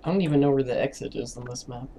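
A woman's voice: extremely low pitch, rapid-fire, mellow, a little hiss or hum. A woman chatting casually with friends while playing an online game. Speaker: player in an online horror game. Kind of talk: casual banter while gaming.

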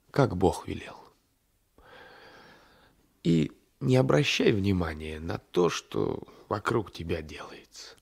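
Creaky-voiced, sly gendarme. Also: male voice, lowish voice, darkish tone, exaggerated voice. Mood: quietly commanding. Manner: insinuating, patronising, repeats phrases. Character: domineering, sly gendarme coaxing a weaker man. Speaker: radio drama actor. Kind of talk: Soviet-era 1980s radio play acting.

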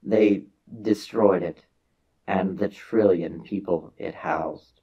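A man voicing a grave old alien elder who recounts a war to children in a dramatic science fiction reading, with deliberate theatrical pauses. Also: sits low, fairly even intonation, breathy edge, dull audio, full character voice. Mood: grave, intense.

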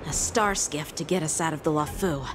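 A woman's voice: deep voice, moderate pace, over music, lightly acted. An actress voicing an eager young male knight who asks earnest, incredulous questions in a game cutscene.

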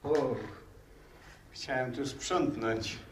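A man's voice: medium pitch, very slow. An actor playing a folksy, matter-of-fact male cleaner, casual, in a 1960s radio play. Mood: amused, casual. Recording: noisy old radio recording.

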